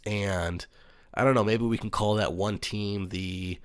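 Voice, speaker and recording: A man hosting his own sports talk show, speaking in a calm, earnest monotone with frequent pauses and "um"s. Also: on the low side, clean tone, no room sound. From United States. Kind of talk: solo sports commentary.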